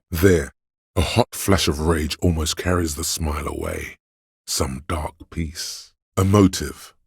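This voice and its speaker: A man's voice: extremely low pitch, slightly rough, no room sound, clean studio sound, full character voice. An actor playing a seductive, hedonistic inner voice of addiction in a video game, coaxing and insinuating as he savors his words. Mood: urging, intrigued. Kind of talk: dramatic game narration, second-person coaxing.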